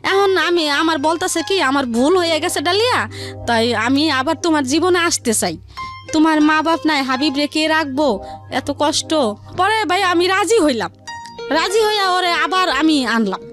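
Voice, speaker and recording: Very high-voiced woman with colloquial Bangladeshi accent. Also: expressive, moderate pace, fairly bright tone. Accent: colloquial Bangladeshi Bengali. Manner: repetitive, rambling, colloquial. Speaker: guest telling her life story. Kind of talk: personal storytelling in a radio interview. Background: music.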